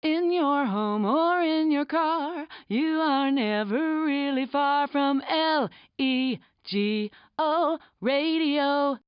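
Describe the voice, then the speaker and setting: A high female jingle voice stretches out a radio station ident very slowly. Faint music sits behind it. The recording is dry and slightly dull game audio. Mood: breezy jingle.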